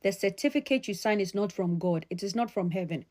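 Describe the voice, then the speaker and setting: A woman speaks in a mellow, dark-toned voice at a middle pitch. She addresses her audience directly, admonishing them with emphatic, deliberately repeated statements. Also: animated. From Ghana. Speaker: sociologist addressing followers.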